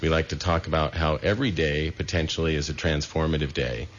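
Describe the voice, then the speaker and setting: Very deep-voiced male radio host. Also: fairly even intonation. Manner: measured, with filler pauses. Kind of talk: casual radio talk. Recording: dull muffled broadcast with faint noise.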